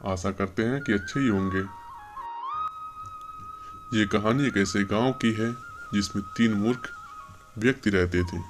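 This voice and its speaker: A man's voice: lowish voice, unhurried, pauses often, music in the background. A man telling a scripted children's story as a narrator.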